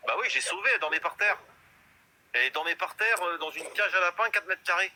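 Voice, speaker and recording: A man's voice: sits high, fairly quick, bright, forceful tone, slight background noise, poor audio. A man in his fifties in a casual live chat, boastful and rambling, full of hesitations. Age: 50s. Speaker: guest in a live stream chat.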